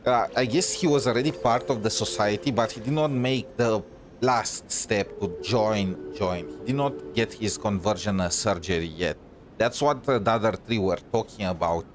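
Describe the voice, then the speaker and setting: A man giving casual gameplay commentary as a streamer, thinking aloud in a hesitant, halting way. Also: fairly low pitch. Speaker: game streamer. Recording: loud game music underneath.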